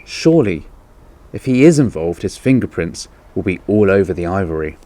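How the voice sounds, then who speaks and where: A man reading the part of a sensible, reasoning aunt in a children's storybook, with brisk, logical emphasis. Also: low voice, big pitch swings, dark tone.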